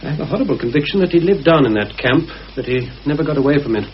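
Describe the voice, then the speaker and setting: An actor playing a genial, sensible bachelor in a vintage radio play, affable, measured and tactful. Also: male voice, a little high, lively intonation, natural voice. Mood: grave. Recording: dull, hissy old radio drama recording.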